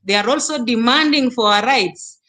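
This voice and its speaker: A woman, a guest on an online panel, speaking earnestly and pausing often with fillers and restarts. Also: on the high side, pushed and bright. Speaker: youth foundation guest. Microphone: video call.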